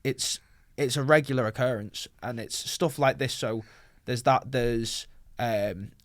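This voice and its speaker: A man speaking as a guest in a casual discussion, animated as he recounts personal experiences. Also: mid-range voice, a little slow, no room sound, clean studio sound. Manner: animated, acting out quoted exchanges. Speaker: panel guest.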